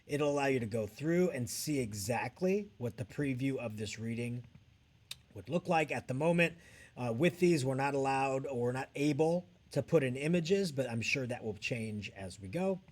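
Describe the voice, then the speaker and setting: Male edtech trainer, medium pitch, explaining on-screen steps in a tutorial. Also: expressive, moderate pace.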